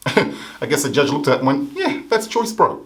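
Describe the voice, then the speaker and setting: A man's voice: medium pitch, sing-song, moderate pace. A man from Africa hosting a comedy show, delivering a satirical monologue with sarcastic, punchy mock outrage.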